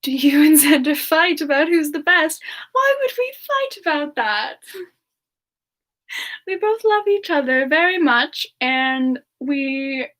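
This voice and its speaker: Casting: woman as young female frost demon singer, high voice, slow and gentle, sweet in-character ASMR stream host. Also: animated, heavily acted. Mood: sweet, affectionate. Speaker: streamer answering viewer questions in character. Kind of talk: semi-ASMR in-character live Q&A.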